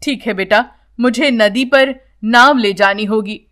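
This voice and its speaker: Elderly boatman, kind and lonely, for a children's cartoon tale, read by a woman in a high register; unhurried, gentle. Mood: kindly. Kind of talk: children's animated story dialogue.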